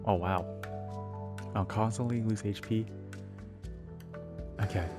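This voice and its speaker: Very low-voiced woman reacting to gameplay. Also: steady tone, slow. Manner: casual, slangy. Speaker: game streamer. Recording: game music behind the voice.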